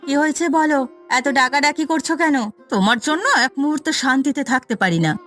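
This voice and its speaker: A woman's voice: sits high, expressive, fast talker, fairly bright tone, faint music behind. A woman voicing an irritable, complaining wife in a cartoon fairy tale, with a snappy, nagging manner. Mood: irritated. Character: irritable, complaining wife, defensive indulgent mother.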